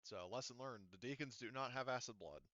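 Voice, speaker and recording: A man's voice: mid-range voice, a little fast, bright, forceful tone, dry recording, dull audio. A man acting as game master, narrating a tabletop game casually and informally, with some swearing. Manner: informal, profane.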